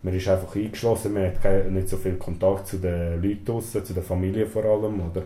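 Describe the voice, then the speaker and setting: A young man in his twenties speaks with a Swiss German accent, his first language Serbian. His voice is low and very dark, muffled and mellow. He hesitates often, filling gaps and ending thoughts with questioning tags, in an echoey small room with faint noise behind. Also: little pitch movement, fast talker. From Switzerland. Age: 20s. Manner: hesitant, many "äh" fillers and tag "oder?". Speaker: prison inmate interviewee. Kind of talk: interview answers. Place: small storeroom in a prison.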